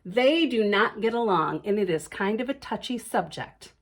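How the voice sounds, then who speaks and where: A woman's voice: mid-range voice, animated, moderate pace, pitched below the performer's own voice. A woman voicing an earnest, slightly exasperated little kindergarten girl in a picture book, blunt and matter-of-fact. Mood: worried.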